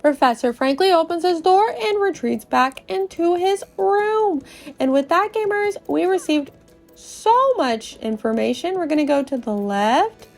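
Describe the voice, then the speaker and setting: A woman's voice: very high-pitched, expressive, measured pace, creaky, soft background music. A woman hosting and narrating a dramatic read-through of a video game. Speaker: podcast host and narrator.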